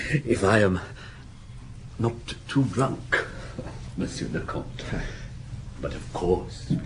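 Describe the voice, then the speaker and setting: An actor playing a tipsy, amiable village priest in a radio drama, halting and breathy, chuckling as he talks. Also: lowish voice, background noise. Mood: tipsy, amused.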